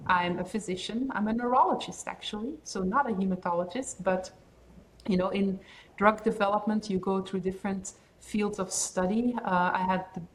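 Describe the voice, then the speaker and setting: A woman with a Belgian accent speaking as a podcast guest, her voice sitting in an ordinary middle pitch. She explains things in a measured, thoughtful way, pausing on fillers as she works through her points. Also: fairly even intonation, slight background noise, low-quality recording. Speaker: pharmaceutical research head and guest. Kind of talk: podcast interview.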